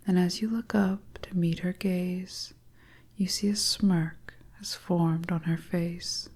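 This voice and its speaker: Slow, whispery woman storyteller. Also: lowish voice, a little mellow. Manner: breathy, whispery, soothing. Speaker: audio storyteller. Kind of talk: hypnotic scripted second-person narration.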